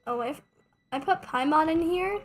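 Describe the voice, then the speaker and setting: A woman's voice: high-pitched, lively intonation, normal speed, slight background noise. A young adult woman streaming a game, chatting casually in a rambling, self-mocking way with joking asides. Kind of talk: casual gameplay streaming chat.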